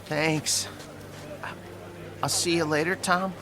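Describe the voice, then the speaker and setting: An actor playing a timid, haunted abductee, childlike and trusting, speaking hesitantly in simple phrases in an audio drama. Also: male voice, fairly high pitch, fairly even intonation, slow pace. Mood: mild.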